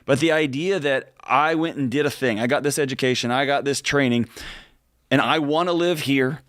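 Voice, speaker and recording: A man's voice: mid-range voice, moderate pace, a bit bright, no echo, studio quality. A man in his forties co-hosting a radio talk show, talking earnestly and emphatically in casual chat. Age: 40s. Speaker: co-host.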